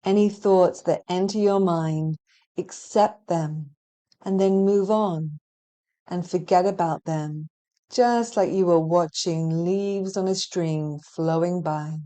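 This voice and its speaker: A woman leading a guided relaxation meditation in a soothing, gentle and measured manner, leaving long pauses between phrases. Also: lowish voice. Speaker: hypnotherapy practitioner guiding meditation. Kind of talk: guided relaxation meditation, hypnotic scripted narration.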